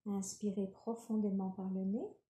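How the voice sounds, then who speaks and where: Female meditation guide, very soft dark timbre, soothing and unhurried, recorded in a roomy space. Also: mid-range voice. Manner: calm, unhurried, gentle instructing. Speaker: yoga nidra relaxation guide. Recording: roomy, reverberant sound.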